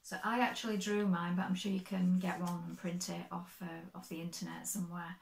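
A woman in her fifties, slightly croaky, chatting step by step through her sewing projects in a bright sewing room. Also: fairly low pitch, little pitch movement, fairly quick, echoey room, a little hiss or hum. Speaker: sewing vlogger. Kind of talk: casual show-and-tell.

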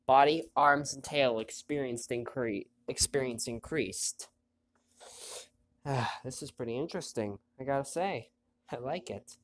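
A man narrates his play of a mobile game in an easygoing, chatty way, his voice thick with a stuffy nose. When story text comes up, he reads it aloud softly and lowers his voice. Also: a little slow. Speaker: let's play host. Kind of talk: casual gameplay commentary, reading on-screen text aloud.

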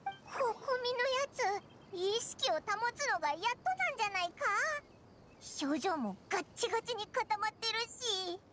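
A woman voicing a tiny, childlike, excitable fairy companion in an anime game, blunt and rough in her wording. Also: very high-pitched, unhurried, noisy background, heavily acted. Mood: worried. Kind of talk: Japanese anime game voice acting. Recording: music behind the voice.

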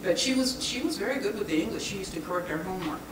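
A woman's voice: medium pitch, animated, rapid-fire. A woman whose first language is German answers audience questions on a panel, chatting easily, laughing and searching for words now and then. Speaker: panel speaker. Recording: roomy, distant, noisy hall recording.

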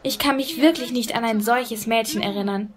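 A shifty fruit seller acted by a woman in an audio drama, with a fairly high voice. She is hesitant and evasive, like a reluctant accomplice. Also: normal speed, close to an everyday voice. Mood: evasive, polite. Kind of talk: German audio drama acting.

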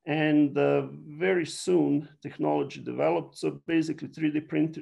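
Gravelly Serbian-accented male lecturer. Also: fairly high pitch, steady tone, normal speed, mellow. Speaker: university biology lecturer. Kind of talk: online lecture to a general audience.

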